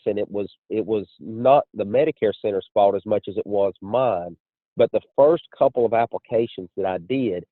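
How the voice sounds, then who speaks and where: A man giving a measured, explanatory testimonial as an insurance agent on a conference call. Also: lowish voice. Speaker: insurance agent and training director. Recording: muffled phone line with room echo.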